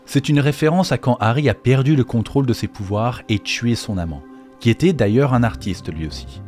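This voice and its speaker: A man's voice: mid-range voice, lively intonation, brisk, darkish tone. A man narrating a scripted explainer as the host of a video on game lore. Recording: faint music behind the voice. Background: faint background music.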